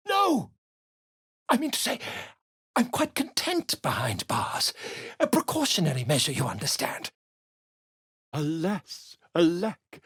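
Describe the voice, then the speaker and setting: An actor voicing a flamboyant, pompous male writer and bard in a video game, speaking in a theatrical, florid, flattering way. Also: sits high, slightly rough, dry recording, studio quality, exaggerated voice.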